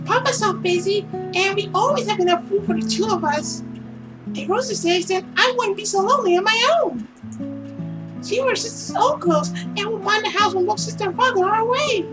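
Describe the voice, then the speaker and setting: A woman's voice: extremely high pitch, moderate pace, music in the background, voice pushed up. A woman voicing an eager, chatty little girl who pleads and rambles earnestly, as in a visual novel read-aloud.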